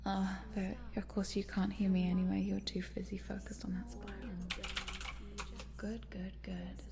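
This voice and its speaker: Girl doing a cute evil scientist for asmr roleplay, soft whispery voice w lots of creak, chatty and coaxing. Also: mid-range voice, little pitch movement, normal speed, noisy background, lightly acted. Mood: coaxing, sinister. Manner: hushed, coaxing, murmuring, giggly asides. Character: cute sinister lady scientist, chatty, coaxing, quietly gleeful.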